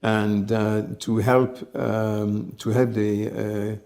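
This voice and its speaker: A man, telling his life story in an interview, measured and reflective, often pausing to find his words. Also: fairly low pitch, level tone, creaky, darkish tone. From France. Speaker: company head, interview guest.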